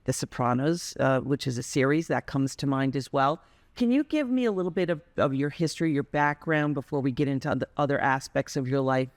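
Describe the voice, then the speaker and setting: A woman's voice: a bass voice, a little fast, dry recording. A woman hosting an interview, reading a scripted welcome and then asking questions with short encouraging replies. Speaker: host. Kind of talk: hospital podcast interview.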